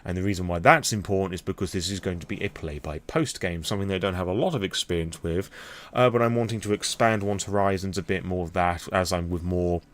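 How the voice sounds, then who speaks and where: A man with a British accent and a fairly low voice talks in an unscripted vlog style. He is candid and informal, wandering through asides with self-deprecating remarks and casual swearing. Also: quick pace. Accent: British English. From England. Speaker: YouTube vlogger on tabletop roleplaying games. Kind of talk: impromptu casual vlog talk.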